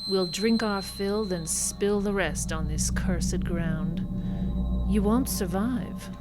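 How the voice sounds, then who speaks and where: A woman's voice: mid-range voice, lightly acted. A woman playing a cold, cultured, condescending female vampire in a radio drama. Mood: cold, menacing. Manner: measured, condescending, theatrical. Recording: loud music under the voice.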